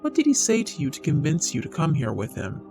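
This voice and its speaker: A woman voicing a menacing male shadow creature who taunts his prey slowly and coldly. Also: sits low, wildly swooping pitch, full character voice. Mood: mocking. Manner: slow, taunting, toying with its prey. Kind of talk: audiobook character voice. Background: music.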